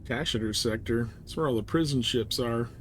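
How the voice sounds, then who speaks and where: Guy chatting while he plays on stream, normal pitch, offhand, bit of noise behind him. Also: normal speed. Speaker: live streamer playing a space game. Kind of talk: casual gameplay commentary, chatting with viewers.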